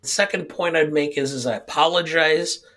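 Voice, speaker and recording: An older man from the upper Midwest, co-hosting a podcast in casual chat, his voice hoarse from a cold and his talk rambling with hesitant fillers. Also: fairly high pitch, moderate pace, fairly bright tone. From upper Midwest of the United States. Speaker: co-host.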